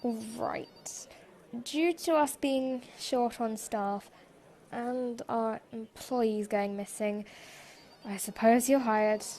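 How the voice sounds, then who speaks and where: A woman's voice: a little high, slow pace, mellow. A woman playing a stern, exasperated department store boss in a fan audio drama, formal and condescending. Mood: businesslike.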